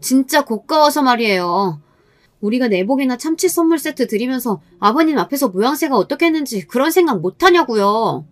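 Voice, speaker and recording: A woman's voice: sits high, animated, brisk, no room sound, pitched above the performer's own voice. A woman voicing a resentful, catty younger sister-in-law who gripes indignantly in a dramatized story. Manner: indignant, griping, blurting.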